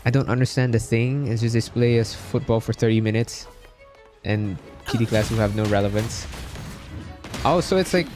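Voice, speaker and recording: A man's voice: medium pitch, normal speed, darkish tone. A young man chatting casually on a game livestream in a friendly, laid-back, talkative way. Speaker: game streamer. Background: game music.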